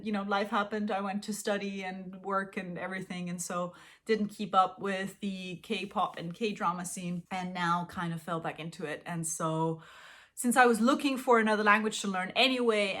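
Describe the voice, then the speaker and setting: A woman's voice: medium pitch, fairly bright tone. Giggly woman. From Austria. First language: Austrian German. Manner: chatty, giggly, self-deprecating, lots of fillers. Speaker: language-learning vlogger. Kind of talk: casual chat to camera.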